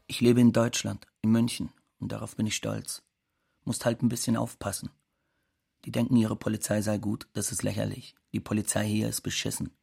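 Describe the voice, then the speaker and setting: An actor playing a blunt, scornful undocumented immigrant man who curses in a radio play monologue, with a gravelly voice. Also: lowish voice, monotone, normal speed, a little mellow, heavily acted.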